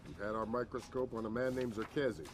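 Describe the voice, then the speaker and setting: A man playing a measured, authoritative intelligence chief in a video game cutscene. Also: mid-range voice, close to an everyday voice. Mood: calm, firm. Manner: measured, assured.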